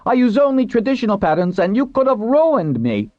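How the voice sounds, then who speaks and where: Thin stooped weaver for a storybook: plaintive, indignant, far higher pitch, slightly muffled sound. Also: male voice, big pitch swings, fairly quick, darkish tone. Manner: agitated, plaintive protesting. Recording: roomy, dull muffled recording.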